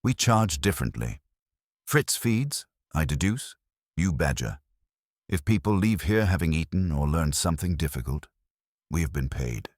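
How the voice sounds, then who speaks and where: Male narrator as older genius sleuth: very low, dry close sound, haughty, formal, epigrammatic. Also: expressive, moderate pace, darkish tone, no room sound. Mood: lofty, disapproving. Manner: formal, epigrammatic, pronouncing judgments. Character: ponderous, haughty detective genius, a pedantic older man.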